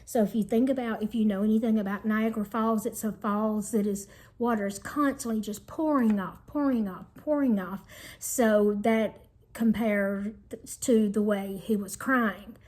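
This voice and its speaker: A woman's voice: mid-range voice, steady tone, moderate pace, a little mellow. A woman teacher reading a children's storybook aloud in an explanatory manner meant for young listeners.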